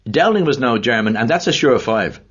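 A hearty, quick-witted middle-aged gentleman jollies the room along, as a man voices him. The voice is husky and raised well above the reader's own. A dull, lo-fi recording softens it. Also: medium pitch, animated, pitched above the performer's own voice. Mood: jovial. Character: jovial, sharp-tongued middle-aged man.

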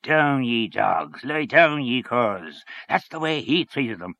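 A man's voice: medium pitch, big pitch swings, slow, creaky, pushed and bright, voice pushed up, heavily acted. A man voicing a blustering, opinionated political canvasser in a fierce, emphatic, colloquial manner, in a story reading. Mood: fierce, rousing.

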